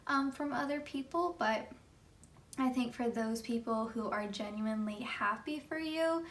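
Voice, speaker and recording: Tarot reader, woman, a bit hoarse, fairly high voice, chatty and filler-heavy, mic set back a little. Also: unhurried, fairly bright tone, recorded from a distance. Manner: chatty, many fillers like "you know". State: hoarse from just waking up. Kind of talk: pick-a-card tarot reading.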